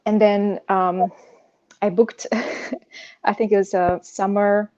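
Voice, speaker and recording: A woman from Russia, a native Russian speaker, telling a personal story as an interview guest in a halting, searching way. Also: mid-range voice, level tone, a little mellow, dull audio. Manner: hesitant, halting, frequent "you know". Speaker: podcast guest sharing personal insomnia story; pianist.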